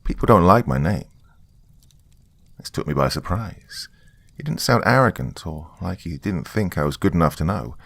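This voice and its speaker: A man's voice: low voice, animated, normal speed, vocal fry, a bit bright, dry recording. A man narrating a story in the first person.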